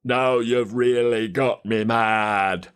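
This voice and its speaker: A man voicing a grotesque, murderous janitor in a storybook, with a raspy voice and a menacing, furious manner. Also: mid-range voice, fairly even intonation, pitched below the performer's own voice, natural voice. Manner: slow, menacing delivery.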